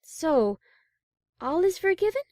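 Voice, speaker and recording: A woman playing a prim, high-class pony musician in an audio drama, speaking in a refined, formal, dramatic manner. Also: big pitch swings, slow pace, dark tone, no echo, studio quality, full character voice. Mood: relieved, gracious. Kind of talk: audio drama character acting.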